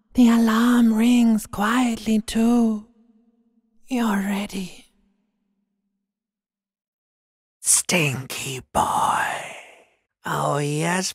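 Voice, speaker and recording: A man voicing a video game's sinister inner voice of a wrecked body, raspy, breathy and strained, mocking and cruelly intimate in a theatrical way, often dropping to a whisper. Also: extremely high pitch, heavily acted. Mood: menacing. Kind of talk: second-person video game voice acting. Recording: long cavernous reverb.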